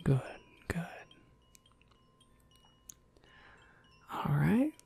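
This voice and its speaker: A gentle witch shopkeeper, acted by a woman, whispers in an ASMR roleplay. The voice is very breathy and fairly low, with slow, unhurried phrasing. The manner is polite, attentive and softly reassuring. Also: sing-song, full character voice.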